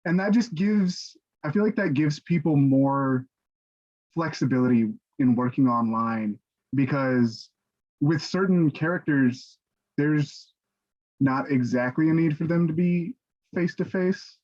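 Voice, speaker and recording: A man speaking in a measured, earnest way during a casual online panel discussion over a video call. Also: a little high, darkish tone. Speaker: stage directions reader. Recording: dull, lo-fi video-call audio.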